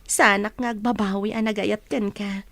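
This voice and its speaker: A woman's voice: mid-range voice, steady tone, moderate pace, creaky, a bit bright, no echo. A woman with an Ilocano accent playing a grateful, lovestruck single mother in a radio drama, sentimental and heartfelt. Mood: affectionate.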